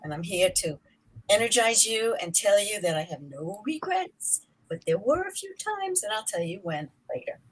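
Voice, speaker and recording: A woman's voice: mid-range voice, sing-song, normal speed, husky, low-quality recording. Emphatic woman of about eighty. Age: 80s. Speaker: panelist. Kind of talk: self-introduction on a panel.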